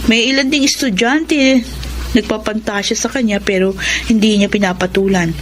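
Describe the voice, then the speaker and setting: A woman's voice: medium pitch, steady tone, moderate pace, a little hiss or hum, lightly acted. An actress playing a gossipy, knowing young woman friend in a Filipino radio drama.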